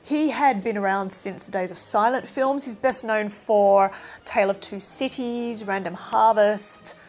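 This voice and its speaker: A woman's voice: mid-range voice, a little slow, darkish tone. A woman giving an informal, opinionated film review in a chatty, emphatic way.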